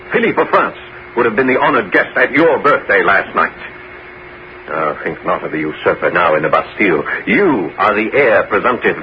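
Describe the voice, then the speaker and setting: An actor playing a scheming, calculating bishop in a 1970s radio drama, speaking in a measured, persuasive, theatrical way. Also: male voice, mid-range voice, natural voice. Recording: dull, noisy 1970s radio broadcast.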